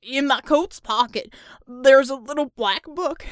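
Woman acting out a gasping, panicked wounded man, loud. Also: high-pitched, measured pace, no room sound, studio quality, pitched above the performer's own voice. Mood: desperate pleading. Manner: gasping, shouting, pleading. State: in pain, out of breath. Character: wounded, panicked, cowardly American city councilman, male.

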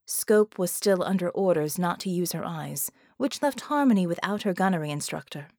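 A woman's voice: lowish voice, lively intonation, normal speed, a little mellow, dry recording, studio quality. A woman reading scripted fiction as an audiobook narrator.

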